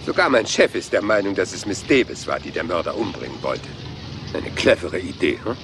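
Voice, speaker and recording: A man's voice: low voice, big pitch swings, fairly quick, noisy background. An actor playing a rumpled, humble male police inspector in a German crime drama dub, apologetic and politely persistent. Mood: humble, dogged.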